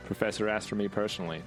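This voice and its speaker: Laconic merc for hire , voiced by a man, gruff and wry, lowish voice, tabletop game, music behind. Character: stern, wry cyberpunk mercenary man for hire.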